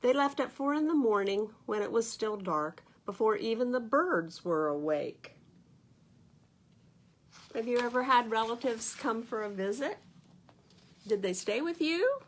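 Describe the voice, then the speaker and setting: A woman reads a picture book aloud to young children as a storytime librarian, expressively, with gentle conversational asides and questions. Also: fairly high pitch, lively intonation, measured pace, long pauses.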